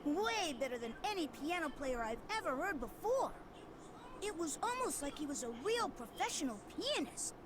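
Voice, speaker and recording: An actress playing an eager, gushing young kid in a dubbed fantasy video game. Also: female voice, high voice, very animated, moderate pace, background noise. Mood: amazed. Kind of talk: English anime-style video game dub.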